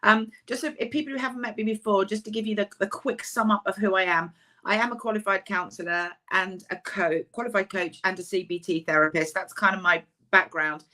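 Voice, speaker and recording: A woman, a counsellor and author, giving a chatty, emphatic webinar talk over a video call, her voice slightly husky. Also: mid-range voice, steady tone, fairly quick, bright, forceful tone. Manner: chatty, self-deprecating, emphatic.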